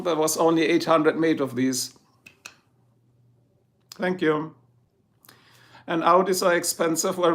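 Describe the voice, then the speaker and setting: A man's voice: a little high, fairly bright tone. A middle-aged man with a German accent, chatting casually with viewers on his livestream and answering their comments. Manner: chatty, answers viewers one by one, chuckles often. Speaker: livestream host.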